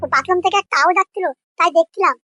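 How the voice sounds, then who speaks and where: A performer voicing a whiny, naughty little boy in a comedy cartoon, defensive, with a pitched-up voice. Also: rapid-fire, bright, forceful tone, no echo, studio quality, faint music behind. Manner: whining, defensive.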